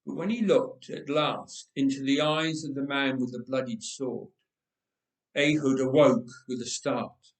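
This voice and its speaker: A man reading a dramatic story aloud with measured, emphatic delivery and dramatic pauses. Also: medium pitch. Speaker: storyteller, reader. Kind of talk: dramatic scripted story narration. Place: roomy church interior. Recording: echoey church, distant microphone.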